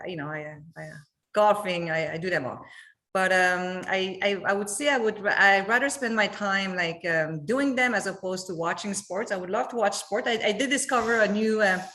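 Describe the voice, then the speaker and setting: A woman's voice: medium pitch, fairly bright tone. Woman, chatty and laughing. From Montreal, Canada. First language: French. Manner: laughing, many fillers and restarts. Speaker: company executive guest. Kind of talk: casual panel discussion.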